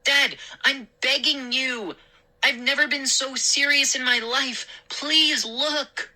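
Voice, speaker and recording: A woman voicing an excitable young boy in an audiobook, speaking in an earnest, breathless, pleading way. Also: medium pitch, unhurried, husky, pitched above the performer's own voice, exaggerated voice, low-quality recording. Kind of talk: audiobook character dialogue.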